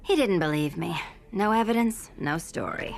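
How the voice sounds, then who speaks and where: A woman voicing an earnest, no-nonsense female character in an animated video game, insistent in delivery. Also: fairly low pitch, normal speed, over music. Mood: pleading.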